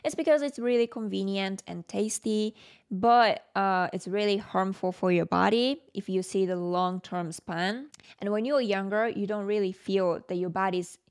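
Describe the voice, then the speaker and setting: A woman's voice: mid-range voice, moderate pace, clean tone. Japanese woman, casual explanatory podcast talk. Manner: conversational, explanatory. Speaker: podcast host.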